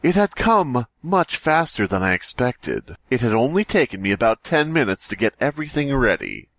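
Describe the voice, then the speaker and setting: A man's voice: medium pitch, very animated, normal speed, fairly bright tone, no room sound. A man co-hosting a podcast, moving between casual comic banter and reading aloud, with a mock-exasperated manner. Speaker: podcast co-host.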